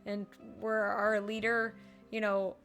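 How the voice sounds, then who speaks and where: A woman game streamer giving casual live reactions, playful and expressive, talking back to the characters. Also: mid-range voice, takes it slowly. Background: video game music.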